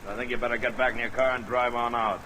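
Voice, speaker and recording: A man acts a stubborn, menacing rancher in a vintage radio drama. His voice sits at a middling male pitch and his words are curt and rough-tempered. Heavy rain noise runs over the old recording. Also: little pitch movement, very fast, lightly acted. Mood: suspicious, curt. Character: hostile, hot-tempered rancher with a rough reputation.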